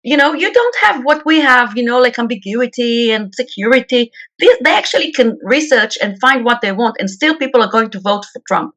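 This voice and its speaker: A woman's voice: fairly high pitch, lively intonation, a little fast, hardly any pauses, fairly bright tone. Blunt Israeli-accented woman. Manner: blunt and emphatic, repeats words. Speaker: peace activist guest. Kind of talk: interview talk.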